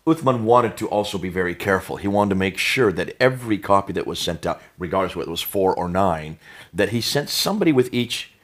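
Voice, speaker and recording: A man's voice: low-pitched, lively intonation, fast, a bit bright. An American man in his sixties discussing in a study, often leading with rhetorical questions. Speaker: co-host. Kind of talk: conversational discussion. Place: indoor study with bookshelves.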